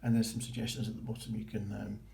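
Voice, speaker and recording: A man's voice: fairly low pitch, level tone, fast talker, creaky, warm tone. A man giving a casual, informal, approving walkthrough as a vlogger. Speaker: vlogger documenting a boating course. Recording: roomy echo with faint noise.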